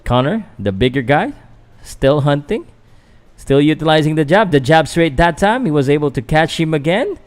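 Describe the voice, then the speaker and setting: A man's voice: on the high side, big pitch swings, moderate pace. Casual man calling a boxing fight. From Philippines. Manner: casual, hesitant, many fillers, answers chat. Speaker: boxing commentator and channel host. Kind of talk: live stream commentary, chatting with viewers.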